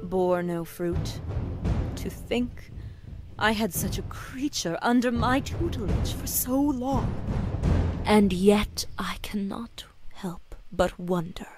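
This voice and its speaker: Woman playing a formal, stern mage over loud music. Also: medium pitch, natural voice. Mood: cold suspicion. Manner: formal, measured, imperious. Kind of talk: dramatic visual novel acting.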